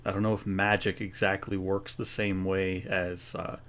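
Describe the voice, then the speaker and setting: A man's voice: deep voice, level tone, normal speed, fairly bright tone, clear, resonant tone. A man giving casual, laid-back, joking commentary as a gaming streamer during a playthrough.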